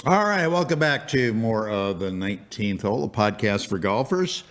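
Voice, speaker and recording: A man's voice: on the low side, big pitch swings, moderate pace, fairly bright tone. A man hosting a podcast interview, asking chatty, rambling questions. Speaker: podcast host.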